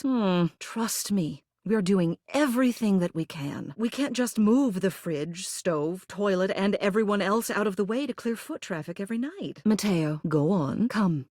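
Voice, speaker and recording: A woman's voice: medium pitch, a little mellow, no room sound, studio quality. A woman voicing a gracious, commanding older lady mayor in a video game, with a genteel, theatrical manner and fond, polished phrasing. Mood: placating, firm, then gracious, brisk. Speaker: mayor and homeowners' association president. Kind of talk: scripted video game character dialogue.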